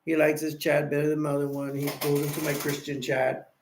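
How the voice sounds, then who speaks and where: A drowsy man chats loosely through a late-night stream, his voice sitting a little high for a man. He rambles between thoughts and greets viewers by name. The sound bounces around a bare, echoey room. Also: monotone, fairly quick, noisy background. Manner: rambling, sleepy, chatty with viewer shout-outs. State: tired and sleepy. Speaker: live stream host. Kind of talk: casual late-night live stream chat.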